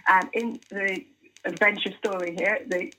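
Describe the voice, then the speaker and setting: A woman's voice: mid-range voice, steady tone, a little slow, pushed and bright, rough recording. A middle-aged woman from Guyana, a children's author working in tech, answering interview questions earnestly with frequent restarts.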